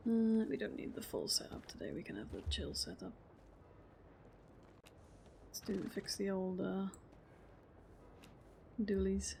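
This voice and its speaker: A woman streamer chatting casually while she plays, relaxed and self-deprecating, swearing now and then and laughing often, a deep voice at a desk mic set back a little. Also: measured pace, creaky, pauses often, slight background noise. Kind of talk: casual livestream chat while playing. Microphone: desk mic moved a little further from her face.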